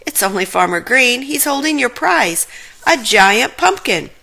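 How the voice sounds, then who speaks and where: Casting: woman playing upbeat young female cartoon sleuth, reasonable, bright, animated. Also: a little high, unhurried, vocal fry, pitched above the performer's own voice, heavily acted. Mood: calling out.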